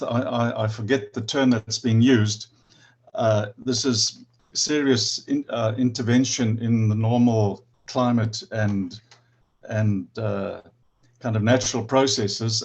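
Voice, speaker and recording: A man with a South African accent speaking on an online panel, halting, with many restarts and stammers. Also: medium pitch, darkish tone.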